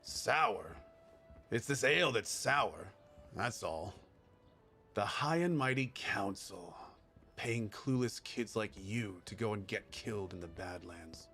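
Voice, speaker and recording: An actor playing a jaded veteran scavenger in a video game, cynical, blunt and grumbling. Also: male voice, fairly low pitch, expressive, slow pace, sharp tone, faint music behind, exaggerated voice. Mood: bitter, grumbling. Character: sour, jaded veteran badlands scavenger, gruff older man. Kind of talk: video game cutscene acting.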